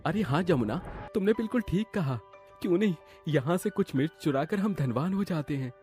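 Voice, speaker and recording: Man as a high-pitched cowardly cartoon husband. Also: lively intonation, fairly quick, natural voice. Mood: scheming. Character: greedy, cowardly, jittery village husband. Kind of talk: animated Hindi story dialogue. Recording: loud music behind the voice.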